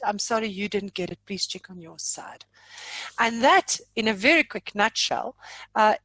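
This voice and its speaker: High-voiced woman leading an informal software webinar. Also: dry recording, rough recording. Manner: chatty, playful, exaggerating. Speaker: software trainer leading a live online session. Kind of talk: informal live webinar walkthrough.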